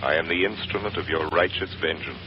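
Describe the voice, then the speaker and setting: An actor voicing a mysterious unseen male avenger who speaks in a slow, commanding and ominous way, in 1930s radio drama style. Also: a bass voice, voice pushed down. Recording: hissy lo-fi 1930s radio broadcast.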